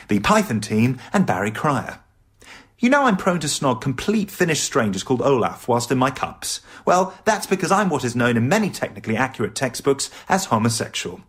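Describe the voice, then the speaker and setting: An English comic narrating his life for a radio play. The voice is husky and very animated, wry and candid, with arch, self-important asides. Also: mid-range voice. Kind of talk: scripted first-person radio narration.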